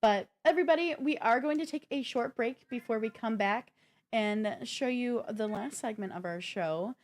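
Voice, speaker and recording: A woman's voice: fairly high pitch, moderate pace. Woman casually hosting a gaming stream. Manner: chatty, informal, addresses viewers directly. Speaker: host of a gaming news show.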